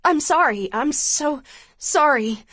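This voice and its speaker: A woman reading an audiobook plays an anxious young woman on the edge of panic. She pleads in a breathy, fairly high voice. The sound is dry and close. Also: animated, slow, fairly bright tone, dry recording, exaggerated voice. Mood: anguished.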